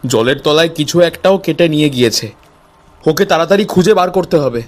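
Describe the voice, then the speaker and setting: A man's voice: fairly high pitch, animated, fairly quick, voice pushed up, natural voice. A man voicing a panicked, pleading fisherman in a cartoon.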